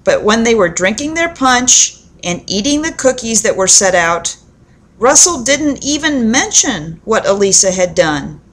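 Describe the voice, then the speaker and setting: A woman telling a children's story aloud in an expressive, deliberate storybook manner, with dramatic pauses. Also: on the high side.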